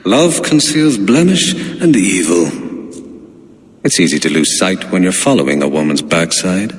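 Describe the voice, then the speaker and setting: Treacherous abbot and secret cult leader for a game; sermonizing taunts, wide swooping delivery, cavernous reverb. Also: male voice, low voice, expressive, unhurried. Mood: mocking defiance. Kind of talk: dramatic video game cutscene acting. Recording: long echoing hall, faint background noise.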